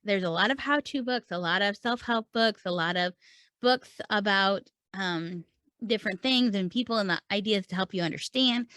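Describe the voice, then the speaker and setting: A woman's voice: mid-range voice, normal speed, fairly bright tone, dry recording. A woman in her thirties hosting a podcast, giving earnest, encouraging advice to writers and asking many rhetorical questions. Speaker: writing coach and podcast host. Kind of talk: solo teaching talk to camera.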